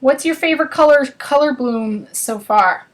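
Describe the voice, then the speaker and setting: Woman, northern US accent, bubbly live craft-class host, slightly high voice. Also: normal speed. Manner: chatty and bubbly, chatting with viewers while working.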